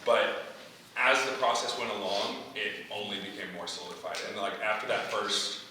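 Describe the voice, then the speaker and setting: Young male playwright taking feedback. Bright but low voice, hesitant delivery with pauses to find words. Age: young adult. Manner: halting, searching for words. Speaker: playwright leading a post-reading feedback discussion. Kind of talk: discussion, answering audience feedback. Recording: echoey hall, distant mic, faint noise.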